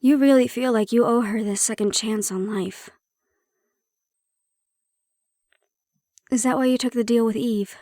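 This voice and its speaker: A woman playing a prickly but caring handler in an intimate audio roleplay, speaking in a measured, probing way. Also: on the high side, fairly even intonation, dark tone, no room sound, studio quality, close to an everyday voice. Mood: curious, probing. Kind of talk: intimate audio roleplay acting, one-sided conversation.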